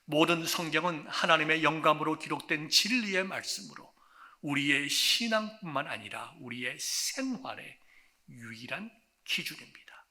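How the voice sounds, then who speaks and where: A male pastor delivers a sermon in a high, very bright voice. His pitch leaps and falls freely. He drops to near whispers, then builds to loud, emphatic declarations, drawing out the words he stresses. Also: animated, normal speed. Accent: Korean.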